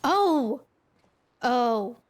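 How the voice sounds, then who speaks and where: A woman's voice: a little high, very animated, extremely slow. A young woman streaming a game, chatting casually and greeting viewers. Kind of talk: casual live gaming commentary. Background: game music.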